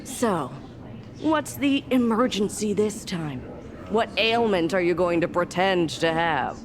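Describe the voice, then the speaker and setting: A sardonic, exasperated doctor. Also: female voice, medium pitch, expressive, slow, a crowd in the background. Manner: sarcastic, weary. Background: game music.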